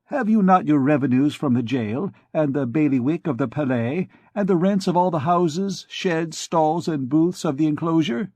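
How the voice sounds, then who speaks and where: A man's voice: a little high, lively intonation, measured pace, a little mellow, dry recording, pitched above the performer's own voice. A man reading aloud gives us a learned archdeacon of an old storybook. He is guarded and courtly, probing with polite questions. The voice sits a little raised and sounds close and dry. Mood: needling. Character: guarded, learned male archdeacon, middle-aged. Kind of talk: audiobook dialogue.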